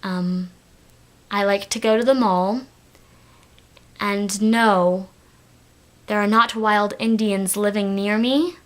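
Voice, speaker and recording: A teenage girl with a light Texan accent talks straight to her camera in a loose, rambling way. Her voice sits at an ordinary middle pitch, and she fills her sentences with ums and quick restarts. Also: fairly even intonation. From Texas, United States. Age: teens. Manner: chatty, rambling, many fillers and restarts. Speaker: vlogger. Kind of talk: casual talk to camera.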